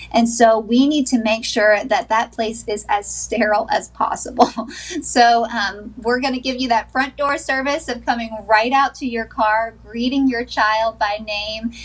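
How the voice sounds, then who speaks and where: Woman, 30s, slightly high, earnest and upbeat therapist guest, video call from home. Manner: earnest, upbeat, laughs between points. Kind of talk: sponsored TV interview, remote. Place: small wood-paneled room at home.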